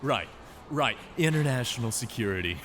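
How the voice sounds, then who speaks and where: A man's voice: mid-range voice, animated, normal speed, fairly bright tone, a little hiss or hum, close to an everyday voice. A man playing an indignant, sarcastic government agency official in a drama, confrontational in manner. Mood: scornful. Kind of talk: dramatic dialogue.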